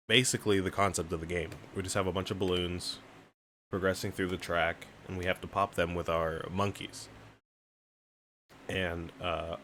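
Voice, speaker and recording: A man giving relaxed let's-play commentary, walking through a game tutorial, a bit raspy and clearing his throat now and then. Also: low voice, slight background noise. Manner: relaxed, explanatory, reads on-screen prompts aloud. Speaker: gaming streamer.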